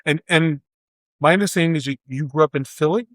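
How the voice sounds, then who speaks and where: A man's voice: on the high side, brisk, fairly bright tone, dry recording, clean studio sound. A man hosting a conversational interview, putting questions to his guest. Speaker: podcast host, interviewer.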